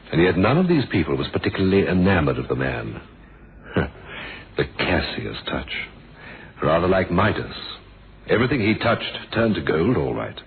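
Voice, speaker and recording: Deep-voiced, shrewd police inspector. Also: male voice, narrow, tinny audio. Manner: drily wry, formal, probing. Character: shrewd, courteous, suspicious male police inspector. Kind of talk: old radio drama acting. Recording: thin band-limited old radio, noisy.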